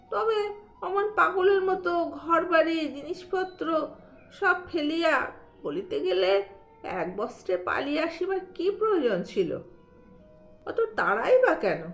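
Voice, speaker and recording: A woman narrating a literary audio story in an expressive storytelling style. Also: high voice. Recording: roomy echo under soft music. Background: soft background music.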